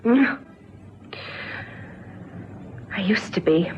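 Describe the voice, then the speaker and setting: An actress playing a despairing young mother in an old radio drama, bitter yet gentle, speaking in an earnest, halting way. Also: medium pitch, animated, close to an everyday voice. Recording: dull, faintly noisy old radio recording.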